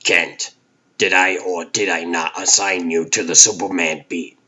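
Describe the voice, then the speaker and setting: Man playing a gruff, husky newspaper boss. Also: low voice, steady tone, unhurried, bright, forceful tone, dull audio, exaggerated voice. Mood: irritated, demanding. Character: gruff, demanding middle-aged male newspaper editor. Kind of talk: comic dub voice acting.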